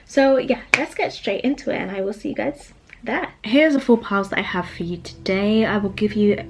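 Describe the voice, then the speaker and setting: Soft-voiced young woman reading cards. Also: mid-range voice, music in the background. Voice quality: soft soothing voice. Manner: chatty and rambling with fillers, later slow and hushed. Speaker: tarot card reader. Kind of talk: casual pick-a-card reading, then guided meditation. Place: small home room with bookshelves, indoors.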